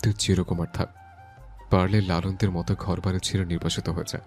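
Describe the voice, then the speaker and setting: A man playing a brooding, gruffly tender young newlywed hero in an audio love story. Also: low-pitched, flat delivery, fairly quick, darkish tone, faint music behind. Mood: teasing, mock stern. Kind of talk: Bengali audio story acting.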